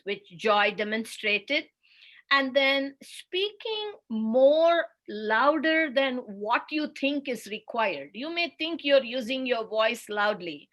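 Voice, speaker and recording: A woman with an Indian accent giving a slow, deliberate, instructive webinar talk as a speech therapist over a video call. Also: on the high side, a bit bright. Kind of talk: webinar presentation.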